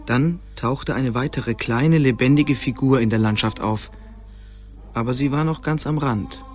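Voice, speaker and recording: A man's voice: medium pitch, measured pace, faint music behind, natural voice. A man narrating a radio play in a scripted storytelling style.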